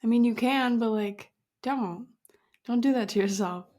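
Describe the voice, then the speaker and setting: A woman's voice: a little high, a little slow, darkish tone. A woman of twenty-two hosting her own podcast, chatting casually with giggles, emphatic pep talk and mild swearing. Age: 20s. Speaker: podcast host.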